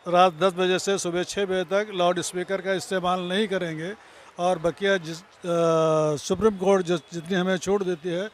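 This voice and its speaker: A man's voice: high voice, level tone, normal speed, slight background noise. An older man giving a formal statement to the press as a community representative.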